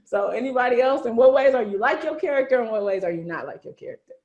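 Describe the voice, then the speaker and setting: Higher-pitched woman hosting in a roomy space. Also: fast, lo-fi sound. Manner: animated, slangy, conversational. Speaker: host, narrator and writer of an audio series. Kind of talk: casual group chat, hosting questions. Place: indoors on a group video call. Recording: roomy echo on a video call.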